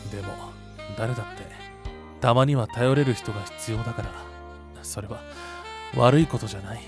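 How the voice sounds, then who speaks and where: Man acting a gentle, teasing big-brotherly schoolboy, music behind. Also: medium pitch, lightly acted. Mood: warm, modest. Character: kind, perceptive big-brotherly high school boy, drama-club actor. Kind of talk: Japanese anime-style voice drama acting.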